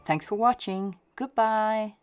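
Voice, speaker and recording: A woman presenting a children's storytime in a slow, scripted read-aloud style. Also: mid-range voice, a little mellow, faint music behind. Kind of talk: scripted intro and sign-off.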